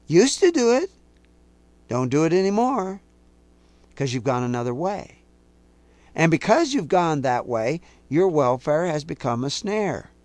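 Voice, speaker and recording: A man's voice: mid-range voice, no echo. Intense preaching man, dry close sound. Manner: intense, rising to emphatic outbursts; quotes scripture in slow, measured cadence. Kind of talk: sermon on scripture.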